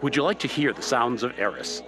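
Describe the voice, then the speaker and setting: Radio play role: affable, slightly hesitant male professor, played by an actor, animated intonation, mid-range pitch, explanatory. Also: brisk, lightly acted. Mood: amused, reassuring. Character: affable male astronomy professor being interviewed by phone. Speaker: professor of astronomy.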